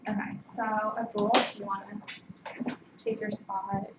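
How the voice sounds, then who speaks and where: A woman's voice: medium pitch, little pitch movement, slow pace. A young American woman chairing a student meeting in an informal, conversational way. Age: young adult. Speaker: student government committee chair. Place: meeting room. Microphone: distant room microphone. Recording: distant muffled mic, echoey room, noisy.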